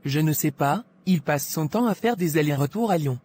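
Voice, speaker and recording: A woman's voice: deep voice, lively intonation, normal speed, mellow. A woman playing a gossipy, scheming pharmacy employee in a cartoon drama, insinuating and self-assured, with faint noise. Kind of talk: animated moral drama dialogue.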